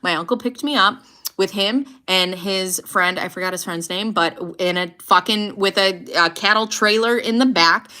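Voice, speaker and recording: A woman's voice: mid-range voice, moderate pace, pushed and bright. A woman in her late thirties hosting a casual livestream, chatting loosely and swearing freely. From United States. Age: 30s. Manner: chatty, swearing, laughing often. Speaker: livestream host. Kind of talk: casual livestream chat reading comments.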